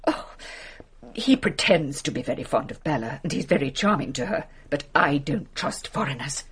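An actress playing a fussy, genteel English lady companion in a radio drama, hesitant, apologetic and gossipy, with a breathy English voice. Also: low-pitched, expressive, normal speed, full character voice. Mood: gossipy, suspicious. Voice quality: breathy, husky. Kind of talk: British radio drama acting.

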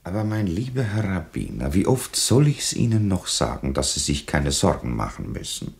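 Very deep, creaky Austrian bureaucrat. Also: male voice, very animated, moderate pace, heavily acted. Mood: cajoling. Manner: unctuous, cajoling, officious. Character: smooth-talking, patronising male government official, insistent and oily. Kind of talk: German-language radio play dialogue.

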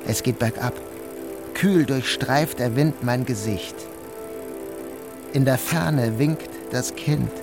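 A man's voice: medium pitch, slow pace, pauses often, over music. A man narrating a radio play in the first person, with an inward, dreamy delivery. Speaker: narrator and lead actor.

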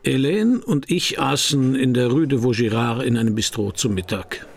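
An actor playing a laconic middle-aged private detective in a radio crime drama, with a dry, understated manner and a gravelly voice. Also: male voice, mid-range voice, normal speed, faint music behind. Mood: wry narrating. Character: wry, laconic French private detective, middle-aged man, narrating his case. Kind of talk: 1990s radio crime drama, first-person narration and dialogue.

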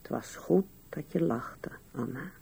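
Gravelly, slow old widow. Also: female voice, rumbling low, expressive, warm tone, heavily acted. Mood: tender, sorrowful. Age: older. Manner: slow, musing, wistful. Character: old widow musing tenderly at her husband's grave. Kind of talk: radio drama acting, reflective monologue.

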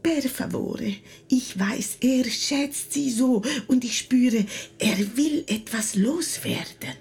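An actress playing an agitated, pleading Italian wife in a German radio play, with an Italian accent and emotional delivery. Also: female voice, high-pitched, slow pace, gravelly, darkish tone, heavily acted. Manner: emotional, slips into Italian exclamations.

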